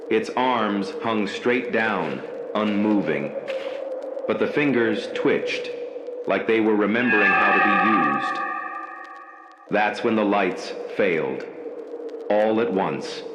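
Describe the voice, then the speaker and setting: A man reading a scripted horror story as narrator, slow, measured and ominous in delivery. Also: lowish voice, lively intonation, loud music behind the voice. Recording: echoey room with background noise.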